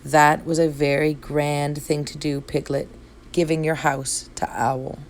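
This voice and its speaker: A woman's voice: low-pitched, level tone, measured pace, a little hiss or hum. A woman voicing a kind young boy in a storybook, earnest and encouraging. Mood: proud, encouraging. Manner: earnest, praising. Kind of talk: storybook read-aloud.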